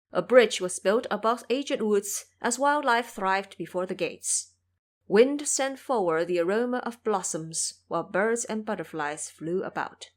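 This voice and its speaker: Expressive woman narrating a classic tale. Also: medium pitch. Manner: expressive, vivid descriptions. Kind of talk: scripted storytelling narration.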